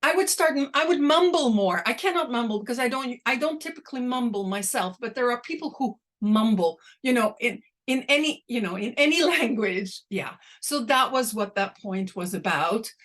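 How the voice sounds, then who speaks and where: A woman whose first language is Dutch, speaking deliberately and a little slowly as an invited academic answering questions on a video call. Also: fairly high pitch, lively intonation, fairly bright tone. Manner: deliberate, slightly slowed and exaggerated. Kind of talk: online academic talk, Q&A.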